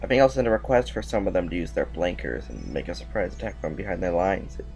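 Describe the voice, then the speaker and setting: The devoted junior officer at the battle screens of a sci-fi radio play, a man acting, low-voiced, deferential and hesitant. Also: expressive, fast talker, creaky, a little mellow, faint music behind. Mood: urgent reporting. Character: earnest, apologetic, loyal military aide. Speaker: actor in an audio drama. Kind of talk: audio drama acting.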